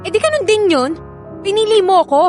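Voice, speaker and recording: A woman's voice: extremely high pitch, very animated, a little fast, a little mellow, faint music behind. An actress with a Filipino accent playing a bitter, grieving young mother who accuses her husband in an emotional radio drama. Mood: bitter, anguished.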